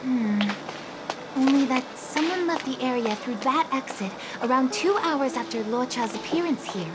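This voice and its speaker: An actress voicing a careful woman technician who talks in a polite, measured and cautious way, in a video game dub. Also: high-pitched, animated, low-quality recording. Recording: game cutscene with music behind.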